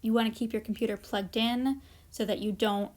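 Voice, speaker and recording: A woman's voice: a little high, fairly even intonation, normal speed, darkish tone. A woman presenting an informal instructional workshop, explaining practical tips in a conversational way with casual asides.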